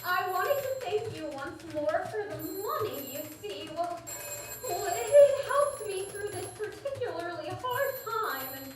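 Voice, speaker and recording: An actress playing a flustered, timid widowed housewife in a 1940s radio drama, speaking in halting, fluttery repeats. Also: female voice, very high voice, very animated, a little hiss or hum, heavily acted. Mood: polite, insistent. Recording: very echoey theater, distant from mic.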